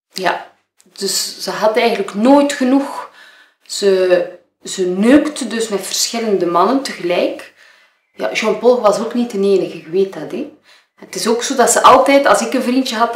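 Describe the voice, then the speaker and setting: A woman with a Flemish accent playing a nervous, gossipy model who gives a rambling, hesitant witness statement in an audio murder game. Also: on the high side. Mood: gossipy, hurt. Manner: hesitant, rambling, self-interrupting. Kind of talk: improvised-sounding monologue for an audio murder game. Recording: roomy, echoing room.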